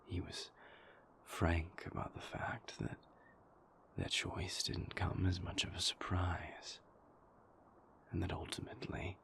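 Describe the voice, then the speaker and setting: A man playing a brooding, weary vampire in an intimate audio roleplay, with a breathy, creaky voice and halting, deliberate, formally worded confessions. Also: sits low, fairly bright tone, full character voice. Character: brooding, weary male vampire, a king's conflicted enforcer and lover.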